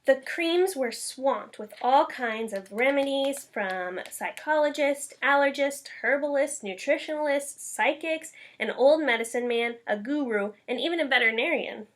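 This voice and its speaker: A woman reading a children's story aloud in an expressive, emphatic school read-aloud style. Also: sits high, moderate pace, hardly any pauses. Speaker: elementary school staff member reading aloud.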